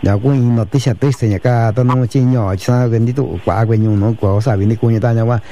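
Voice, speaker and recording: A man's voice: mid-range voice, steady tone, moderate pace, warm tone. A man hosting a community radio show, sending long lists of greetings to towns and listeners. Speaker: radio host. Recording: radio broadcast.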